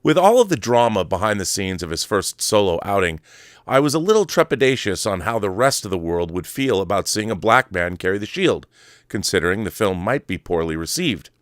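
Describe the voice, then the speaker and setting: Male podcast host, lowish voice, theatrical and wordy, dry close sound. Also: sing-song, a little fast, no echo. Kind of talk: casual solo commentary and letter reading.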